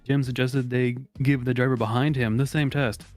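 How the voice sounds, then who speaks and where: Male advice-show host, mid-pitched, casual and mock-serious, swears freely, music in the background. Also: steady tone, normal speed, a little mellow. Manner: profane, blunt, mock-serious. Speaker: host of a comedy advice segment. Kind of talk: casual, joking group chat and reading aloud.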